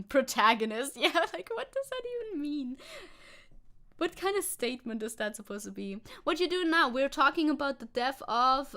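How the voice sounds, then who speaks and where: A woman's voice: a little high, a bit bright. A young woman VTuber streamer chatting casually and sarcastically, reading news and chat aloud, swearing and laughing.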